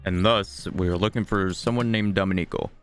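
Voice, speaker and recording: A man with a gravelly voice giving laconic, playful, muttered commentary as he plays a story game. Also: deep voice, fairly even intonation, brisk, soft background music. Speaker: streamer playing a story game. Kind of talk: casual gameplay commentary, reading replies aloud.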